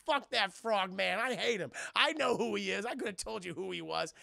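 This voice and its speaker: A small, disgusted droid put on by a man gripes in a high, bright voice. It is indignant, loud and foul-mouthed, a comic take on a film robot. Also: moderate pace, creaky, no room sound, studio quality, exaggerated voice. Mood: bitter, scornful. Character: whiny, sweary, disgusted little droid.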